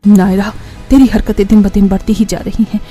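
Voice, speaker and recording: A woman's voice: mid-range voice, little pitch movement, fairly quick, dark tone, soft background music, close to an everyday voice. A woman voicing a stern, weary mother who scolds her daughter reproachfully in a family cartoon. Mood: exasperated, stern. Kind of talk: Hindi family cartoon voice acting.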